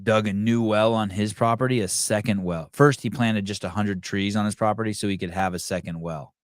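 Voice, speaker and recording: A lower-pitched man hosts a casual podcast chat. He talks in an animated, profane way and slips into voices when he tells a story. The sound is close and dry. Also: brisk, dry recording. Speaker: podcast host. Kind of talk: casual banter. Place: California home.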